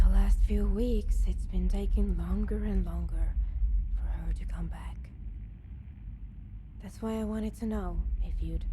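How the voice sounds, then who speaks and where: Game companion: woman as guarded, self-reliant female scavenger, unhurried delivery, dry and matter-of-fact, over noisy ambience. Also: medium pitch, natural voice. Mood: concerned, explaining. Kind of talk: video game character dialogue.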